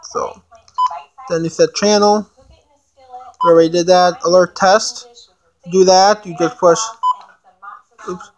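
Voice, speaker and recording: Amateur female reviewer, monotone and slightly low, casual, stop-and-start delivery while reading settings aloud. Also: background noise. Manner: halting, thinking aloud. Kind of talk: casual unboxing demonstration, reading menu items aloud.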